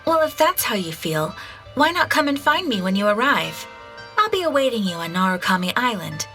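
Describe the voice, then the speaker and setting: A woman's voice: mid-range voice, big pitch swings, normal speed, a bit bright. A woman voicing a courteous, composed receptionist in a video game, speaking formally and politely. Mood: reassuring. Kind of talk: video game cutscene acting. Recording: music behind the voice.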